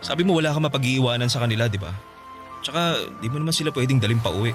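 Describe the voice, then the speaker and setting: Actor as fast-talking, coaxing Filipino boyfriend. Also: male voice, medium pitch, music in the background, natural voice. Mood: reassuring. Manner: coaxing, insistent. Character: pleading, dismissive boyfriend and father. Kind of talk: Filipino radio drama acting.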